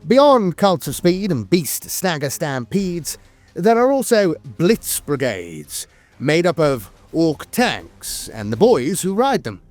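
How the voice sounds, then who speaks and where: A man with a fairly high voice delivers scripted lore narration as a theatrical storyteller. His pitch moves in lively, animated swings, and he leans into emphatic words. The sound is close and dry. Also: takes it slowly, no echo.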